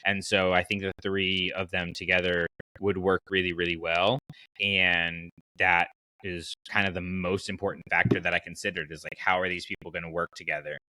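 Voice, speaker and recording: A man's voice: sits low, little pitch movement, normal speed, bright, forceful tone, no room sound, low-quality recording. A man hosting a casual fan podcast, talking through his choices in a conversational way with frequent asides. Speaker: podcast host.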